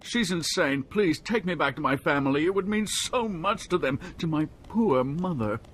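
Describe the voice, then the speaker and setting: Brief: a whiny pampered game noble, very lively pitch, hesitant and rattled. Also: male voice, moderate pace, slight background noise. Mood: flustered. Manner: flustered, hesitant and pleading. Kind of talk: video game cutscene acting.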